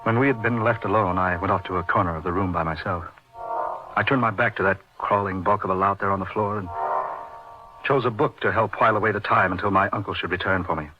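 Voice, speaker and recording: An actor playing an intense middle-aged surgeon in an old-time radio horror drama, recounting his uncanny friend with deliberate, emphatic repetition. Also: low-pitched, natural voice. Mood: grave, reminiscing. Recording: dull lo-fi radio recording, music behind.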